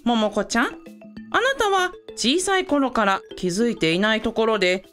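Dutiful female assistant, radio drama, woman acting, slightly high, expressive, professional, light music underneath. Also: moderate pace. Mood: explanatory, professional. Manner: polite, professional, explanatory.

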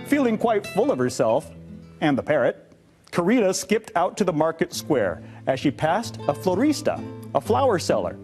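A middle-aged man reading a children's storybook aloud with expressive narration. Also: a little high. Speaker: read-aloud storybook reader. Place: indoor studio, seated on a couch.

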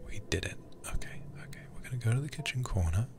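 Deep, gravelly, whispering man. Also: animated, fast, over music. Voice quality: soft, breathy, often whispered. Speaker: livestreamer playing a horror game. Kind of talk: ASMR game commentary. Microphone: close microphone.

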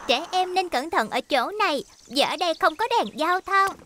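An actor voicing a bright, cheerful little child in a cartoon dub. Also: very high-pitched, lively intonation, normal speed, noisy background. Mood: chatty. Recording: music behind the voice.

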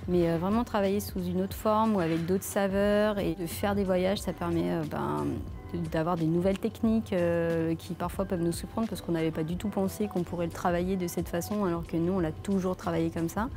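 Woman chef, 30s, from France, lower voice, halting interview delivery with music bed. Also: steady tone. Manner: hesitant, many fillers and restarts. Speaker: head chef. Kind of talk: filmed interview answers. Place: leafy outdoor restaurant courtyard. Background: soft background music.

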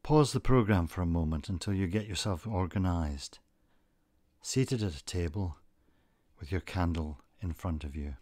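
A man narrating a scripted guided meditation, giving gentle instructions in a calm, deliberate manner. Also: sits low, no room sound.

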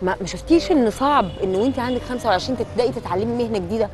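A young woman with an Egyptian accent interviewing conversationally outdoors, prompting with quick follow-up questions. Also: a little high. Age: young adult. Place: city street, outdoors. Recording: clear outdoor street noise.

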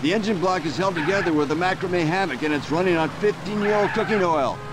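An actor voicing a paranoid, stoned aging hippie in a video game, rambling in a spaced-out stoner drawl full of hippie slang. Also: male voice, high-pitched, quick pace, natural voice. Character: paranoid, stoned aging hippie man, laid-back conspiracy theorist. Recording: loud vehicle noise behind the voice. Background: vehicle engine and road noise.

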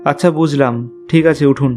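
Man as mellow, uneasy night-riding journalist. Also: a little high, steady tone, fairly quick, close to an everyday voice. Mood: courteous. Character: male journalist on night ride, courteous, uneasy narrator. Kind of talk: first-person horror story narration and dialogue. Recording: faint music behind the voice.

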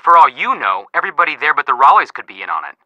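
A man playing a wisecracking, excitable teenage boy detective in a mystery game, breezy and theatrical. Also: fairly high pitch, very fast, no echo, highs cut off, exaggerated voice. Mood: wry, intrigued. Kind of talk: scripted phone banter in a mystery game.

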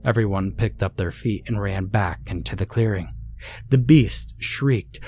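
Male narrator for creepypasta horror fiction, lower-pitched voice, scripted dramatic read. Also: a little slow, darkish tone, a little hiss or hum.

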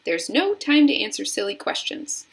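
A woman voicing an eccentric, excitable, gleeful man in a children's storybook, in an exclamatory, theatrical manner. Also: on the high side, moderate pace, creaky. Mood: urgent.

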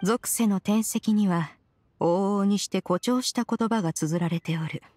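A woman's voice: medium pitch, measured pace, darkish tone, no echo, natural voice. A woman voicing a proud, ancient immortal spirit in a Japanese game, aloof yet caring, with haughty, archaic phrasing. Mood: proud, dismissive. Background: music.

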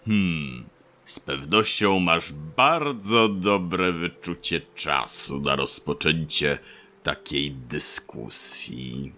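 Wise old talking tree played by a man: low, forceful, knowing, for a children's audio play. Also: animated, full character voice. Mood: amused. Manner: slow, measured, all-knowing, pompous.

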